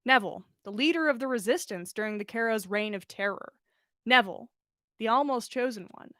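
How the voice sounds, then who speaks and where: High-voiced woman reading a story aloud. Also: brisk, bright, forceful tone, no room sound, studio quality. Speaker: podcast host reading listener fan fiction. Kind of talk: expressive story narration, livestream read-aloud.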